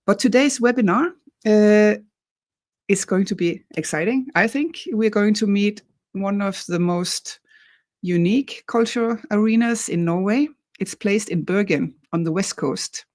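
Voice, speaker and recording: A woman's voice: medium pitch, a little slow, darkish tone, no room sound, clean studio sound. A woman hosting an online webinar over a video call, chatty and loose with fillers. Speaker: webinar host.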